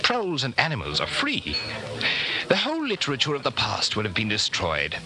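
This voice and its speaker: A man's voice: mid-range voice, huge pitch swings, a little fast, poor audio. A man in a 1940s radio drama playing a smug, zealous party intellectual with a pedantic, relishing way of talking. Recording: old radio recording with crowd chatter. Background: busy canteen crowd.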